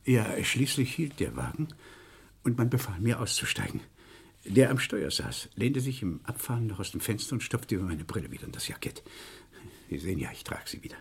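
A meek male clerk giving a statement in a radio crime play. His voice is very husky and creaky, swinging widely in pitch. He hesitates and apologizes politely as he goes. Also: big pitch swings, fairly quick, full character voice. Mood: flustered.

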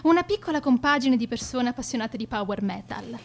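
Radio play: haughty schoolgirl band leader, fairly high voice, florid formal diction, theatrical and self-important. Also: animated, fairly quick. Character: arrogant, egotistical teenage schoolgirl, leader of a metal band. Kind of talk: theatrical scripted monologue.

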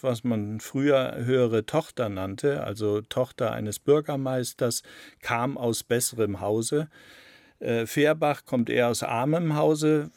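A man giving explanatory interview answers in a deliberate, halting way, searching for exact words. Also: mid-range voice, a little mellow, no room sound, studio quality. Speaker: interviewed radio drama author.

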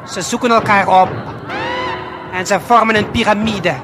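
A man narrating an audio play in measured short phrases, speaking straight to the listener with deliberate pauses. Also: high-pitched, fairly even intonation. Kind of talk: slow scripted storytelling addressed to the listener. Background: prominent music.

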